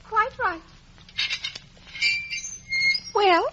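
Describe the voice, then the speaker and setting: A woman's voice: very high-pitched, slow, fairly bright tone, slight background noise, heavily acted. An actress playing a haughty, scornful young girl with clipped speech in a 1950s radio drama. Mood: haughty, teasing. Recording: dull vintage radio broadcast.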